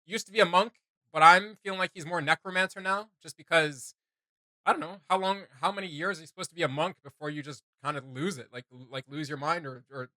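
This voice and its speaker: A man's voice: fairly high pitch, fairly even intonation, fast talker, bright, forceful tone, no room sound. A man hosting a reaction video with casual, off-the-cuff commentary, blunt and sarcastic as he rambles.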